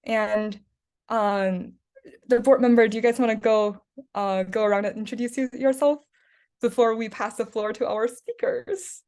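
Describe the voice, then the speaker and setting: Young woman hosting, bright higher voice. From China. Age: young adult. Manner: hesitant, many fillers, slows down at times. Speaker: host, student club co-president. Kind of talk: casual moderating on a video call. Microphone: video call.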